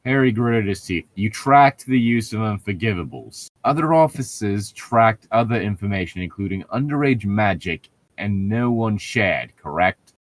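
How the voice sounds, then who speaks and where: A man's voice: lowish voice, animated, unhurried, no echo. A man reading fan fiction aloud and breaking off into sarcastic, sweary commentary with an exasperated, mocking manner.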